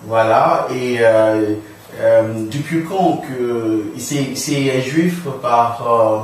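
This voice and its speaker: A middle-aged man hosting an informal radio interview, feeling his way through sentences with halting phrasing. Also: medium pitch. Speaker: radio talk show host. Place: small radio studio room, indoors. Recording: very echoey room, distant, faint noise.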